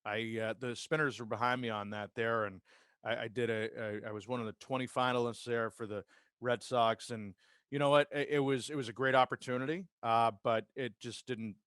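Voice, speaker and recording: A man's voice: mid-range voice, steady tone, a little fast, fairly bright tone, clear, resonant tone, no echo. Male podcast guest, American accent, mid-range voice, conversational storyteller, very dry sound. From Massachusetts, United States. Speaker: podcast guest, sports public address announcer and radio host. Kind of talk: casual conversational interview, career storytelling.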